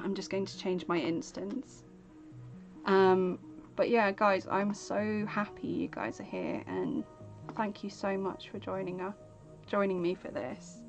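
A woman chatting casually on a live game stream, rambling as she plays and thanking viewers by name. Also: mid-range voice. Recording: game music playing underneath.

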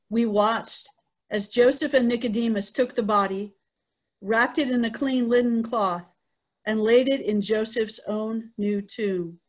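A woman playing an earnest female eyewitness to the crucifixion in a dramatic reading. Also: fairly high pitch. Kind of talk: dramatic Easter scripture reading. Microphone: video call.

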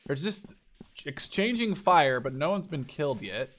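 A man's voice: a little high, big pitch swings, a little slow, a bit bright. A young man giving casual, informal let's play commentary on a game. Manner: informal, sweary. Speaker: gaming video creator.

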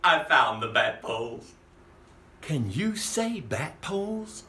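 A man's voice: a little high, very animated, takes it slowly, sharp tone, roomy sound, distant mic, slight background noise. A middle-aged man hosting his own vlog from a home office full of props, talking to viewers in a campy, theatrical kids'-show-host way and chuckling often. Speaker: costume maker and vlog host.

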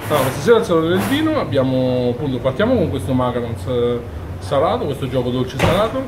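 Brief: man in his 40s from Umbria, chef, earnest and detailed, medium pitch, kitchen clatter behind. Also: sing-song, fast, noisy background. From Umbria, Italy. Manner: earnest, detailed, with frequent fillers and restarts. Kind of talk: on-camera interview. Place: busy restaurant kitchen during service.

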